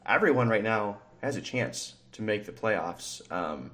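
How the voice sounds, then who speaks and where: A man's voice: lowish voice, lively intonation, unhurried, pushed and bright. A young man co-hosting a casual sports podcast, rambling with lots of fillers and easy laughs. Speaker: podcast co-host. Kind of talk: casual chat.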